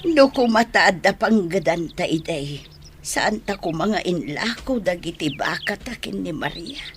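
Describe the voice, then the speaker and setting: A woman's voice: on the low side, animated, unhurried, slight background noise. A woman playing the caring rural wife of an old herdsman in an Ilocano radio drama. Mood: conversational.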